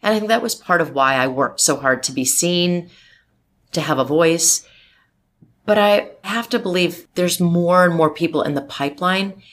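Woman in her forties, deep voice, emphatic, outspoken, interview guest. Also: moderate pace. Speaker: marketing executive guest. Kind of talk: interview conversation.

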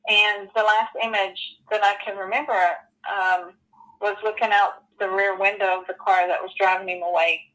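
A woman in her forties telling her personal story as an interview guest, earnest and halting, with many ums and you-knows. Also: medium pitch, steady tone, fairly bright tone, low-quality recording. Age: 40s.

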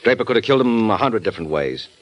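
An actor playing a wisecracking private detective in a 1940s radio drama, sardonic and quick with a comeback. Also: male voice, on the low side, expressive, lo-fi sound, natural voice. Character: wry tough male private detective.

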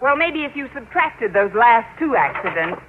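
An actress playing a quick-witted, sarcastic female schoolteacher in an old-time radio sitcom, with a deadpan delivery. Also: a little high. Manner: deadpan wisecracking. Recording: noisy narrow-band vintage radio, loud audience.

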